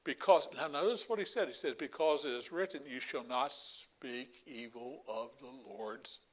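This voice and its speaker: A man's voice: mid-range voice, moderate pace. An older man preaching a Bible lesson in a folksy way, with many fillers and asides. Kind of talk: Bible teaching sermon.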